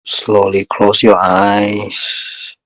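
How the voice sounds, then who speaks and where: A man leading a guided meditation as a teacher, speaking calmly in short, measured phrases with long pauses between them. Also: lowish voice, no echo, low-quality recording.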